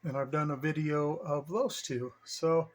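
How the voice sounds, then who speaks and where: A man's voice: on the high side, fairly even intonation, a little slow. A middle-aged man giving a casual show-and-tell in a rambling, informal, enthusiast's way, like a hobby vlogger. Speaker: hobbyist vlogger showing his radio gear.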